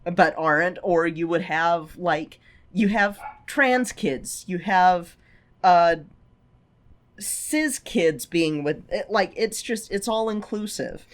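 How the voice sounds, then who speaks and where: Halting, casual podcast co-host of unclear sex. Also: mid-range voice. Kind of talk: casual chat, film discussion.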